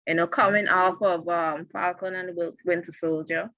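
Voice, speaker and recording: A woman's voice: lowish voice, fairly even intonation, normal speed, bright, forceful tone, no echo. A young woman with a Guyanese accent, co-hosting a casual show review in an easygoing way. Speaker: co-host. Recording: dull lo-fi recording.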